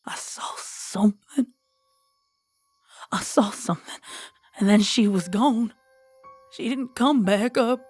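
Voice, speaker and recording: A woman voicing a frightened, haunted young farm pony mare, stammering and halting in a dramatized horror story. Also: medium pitch, a little mellow, breathy edge, faint music behind. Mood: tearful, guilty.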